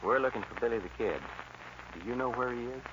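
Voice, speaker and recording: An actor playing a stern frontier sheriff with an American accent, in 1940s radio western style. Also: male voice, medium pitch, fast talker, natural voice. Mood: businesslike. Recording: muffled, noisy old radio recording.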